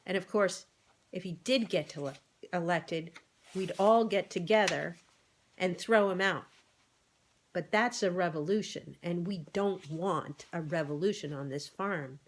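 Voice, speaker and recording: A woman's voice: fairly low pitch, unhurried. A woman voicing an earnest, worried male pig who reasons persuasively in an animal storybook.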